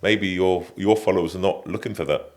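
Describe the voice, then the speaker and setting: Male coach as podcast guest: deep voice, measured delivery, probing questions, conversational. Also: a little mellow.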